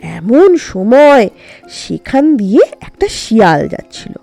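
A woman narrating a children's story in an animated, expressive storytelling manner. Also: on the high side, creaky, soft background music. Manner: slow, animated, storytelling delivery.

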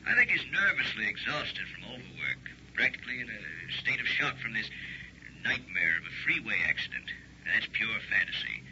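Actor as a sharp, animated grave doctor. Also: male voice, on the low side, moderate pace, full character voice. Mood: grave, cautioning. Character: grave, authoritative male doctor. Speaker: radio drama actor. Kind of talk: early 1960s radio acting. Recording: muffled old radio recording with hiss.

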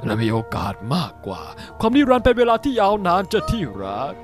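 A scheming, condescending bird demon aristocrat in a cartoon. The pitch swoops dramatically in a theatrical delivery, over faint music. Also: male voice, huge pitch swings, fast talker, exaggerated voice. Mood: sly, condescending. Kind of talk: Thai fan-dub cartoon acting.

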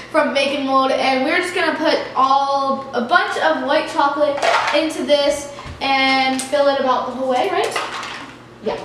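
A high, bright-voiced teenage girl chatting in a giggly, playful way while she cooks. Her voice bounces around a very echoey home kitchen. A camera picks it up from across the room, and there is clear noise underneath. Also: far from the mic. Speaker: vlog co-host. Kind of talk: casual cooking demo. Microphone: far camera on a tripod. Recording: echoey kitchen, noisy, from afar.

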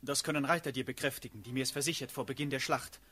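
Sharp, husky reporting officer. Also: male voice, mid-range voice, steady tone, fast. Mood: earnest. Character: respectful male officer reporting to his sovereign. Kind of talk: German classical radio drama.

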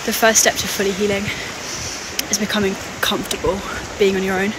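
A woman's voice: medium pitch, level tone, brisk. A woman vlogging outdoors on a hike, talking in a casual, reflective way. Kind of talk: casual reflective chat while hiking. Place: outdoors on a hiking trail. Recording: very noisy outdoor wind and water sound.

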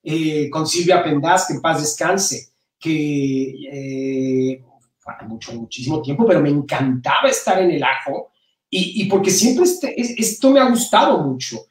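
A middle-aged man from Mexico with a Mexican accent touched by Spain, talking casually and haltingly as an interview guest. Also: on the high side. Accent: Mexican Spanish with some Spain influence. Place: indoor room at home, on a video call. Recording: roomy, distant-mic video call.

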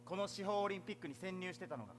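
Boyish, high-voiced daring lawyer. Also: male voice, very fast, fairly bright tone, a little hiss or hum. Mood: resolute. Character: cocky, daring young male undercover lawyer. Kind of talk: theatrical stage acting. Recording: theatre stage recording with music.

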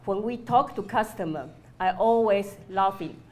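A middle-aged woman from Taiwan speaks English as a second language, her first tongue being Mandarin Chinese. She talks earnestly in short, simple phrases at a middle pitch. She is interviewed with a handheld mic inside a restaurant hall. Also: measured pace, a little mellow. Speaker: restaurant owner and adult learner.

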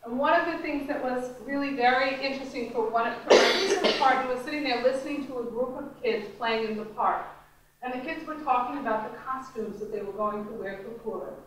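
A woman's voice: fairly high pitch, a little fast. A middle-aged woman giving an academic lecture at a podium, conversational with frequent ums and restarts. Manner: conversational, many ums and restarts, gestures while talking. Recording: very echoey lecture room, distant mic, faint noise.

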